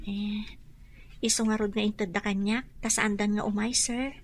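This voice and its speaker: An actress with an Ilocano Filipino accent playing a meek, respectful young housemaid in a radio drama. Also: female voice, mid-range voice, flat delivery, normal speed, slight background noise, lightly acted. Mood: deferential.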